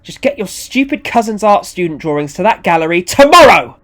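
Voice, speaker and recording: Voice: medium pitch, expressive, brisk, a little mellow, voice pushed up, natural voice. A storyteller voicing an irate, stern, indignant event organiser in acted story dialogue. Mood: angry.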